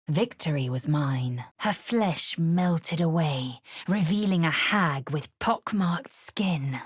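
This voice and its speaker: A woman narrating a video game, telling the story in a measured, atmospheric way. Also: low-pitched, expressive, breathy edge, dry recording, studio quality, heavily acted. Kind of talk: scripted first-person game narration.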